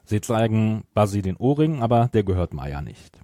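A man's voice: on the low side, big pitch swings, normal speed, warm tone, no room sound, clean studio sound. A man hosting a podcast, retelling a story from notes and at times searching for words. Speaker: podcast host.

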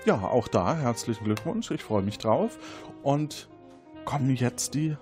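A man's voice: mid-range voice, expressive, measured pace, a little mellow, soft background music. A man hosting a podcast in an enthusiastic, appreciative way, chatting casually and reading out listener messages. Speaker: podcast host.

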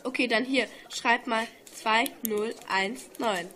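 A woman's voice: on the high side, steady tone, takes it slowly, pushed and bright. A teenage girl acting a curious, matter-of-fact schoolgirl in an amateur radio play. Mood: matter-of-fact, puzzled.

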